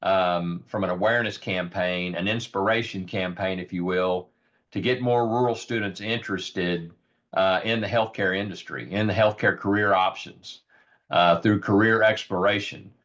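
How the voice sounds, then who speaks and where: A man with a raspy, hoarse voice giving an earnest informal presentation on a rural health program, with many ums and repeated words. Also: low-pitched, fairly even intonation, moderate pace, a bit bright. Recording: dull lo-fi audio.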